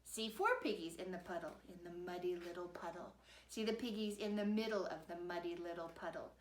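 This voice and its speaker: A woman's voice: on the low side, fairly quick, roomy sound, a little hiss or hum. A woman narrating a rhyming children's story in a playful, rhythmic singsong. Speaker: storybook reader.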